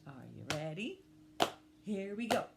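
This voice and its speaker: Lively, unhurried woman hosting children's storytime. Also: medium pitch, gravelly, loud music behind the voice. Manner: encouraging, simple repetitive phrasing for young children. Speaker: children's librarian storytime host. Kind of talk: children's storytime with read-aloud and instruction.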